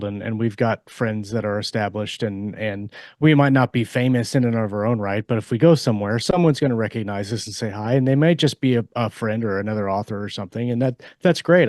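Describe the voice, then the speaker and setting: A man co-hosting a casual author podcast, giving advice in a halting way as he repeats and rephrases his points. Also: fairly low pitch, darkish tone, no room sound, studio quality.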